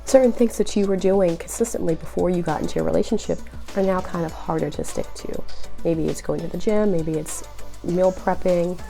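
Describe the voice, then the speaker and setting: A young woman with a cold, sounding nasal and raspy, giving earnest, encouraging relationship advice straight to the viewer. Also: fairly low pitch, a little fast, dark tone, faint music behind. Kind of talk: direct-to-camera advice vlog.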